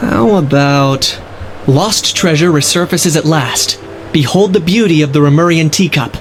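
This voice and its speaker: A man playing a level-headed, dryly wry young man in a video game, speaking in a measured, matter-of-fact way. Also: fairly high pitch, slight background noise, lightly acted. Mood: musing, dry. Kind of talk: English video game voice acting. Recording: game music behind. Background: game music.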